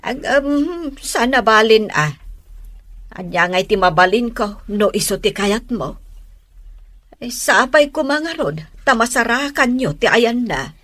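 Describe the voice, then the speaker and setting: A woman playing a caring, anxious mother in an audio drama, giving advice in a gentle, drawn-out way. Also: a little high, animated, no room sound. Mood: tender concern.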